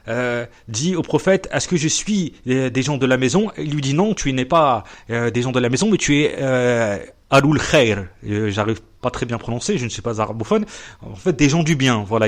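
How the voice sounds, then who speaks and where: Hesitant male podcast host. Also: mid-range voice. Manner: hesitant, many fillers, presses his guest. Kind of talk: podcast interview.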